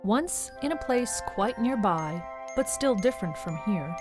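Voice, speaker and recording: A woman's voice: mid-range voice, lively intonation, a little slow, loud music behind the voice. A woman narrating a storybook tale for an audio drama, conversationally addressing the listener.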